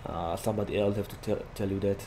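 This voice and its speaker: A young man with a Slovak accent and a slightly low voice rambles casually through his gameplay, leaning on "like" fillers. Clear engine and vehicle noise from the game runs under his desk-mic recording. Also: steady tone, fast talker. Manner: rambling, chatty, heavy use of "like" fillers. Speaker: gaming YouTuber.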